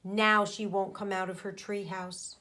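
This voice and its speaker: A woman's voice: mid-range voice, little pitch movement, sharp tone. A woman schoolteacher reading a children's book aloud, stepping in to explain and ask questions in a didactic way. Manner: didactic, pauses to question the young listeners. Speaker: elementary school teacher.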